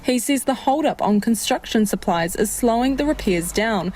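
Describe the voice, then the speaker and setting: A woman with a New Zealand accent reading a scripted radio news report in a steady, measured way. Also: fairly high pitch, a little hiss or hum.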